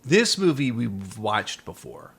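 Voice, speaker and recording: A middle-aged man hosting a casual film podcast, explaining things and gently prompting his young co-host. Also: fairly low pitch, big pitch swings, slow, slightly rough. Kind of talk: casual movie discussion with a child.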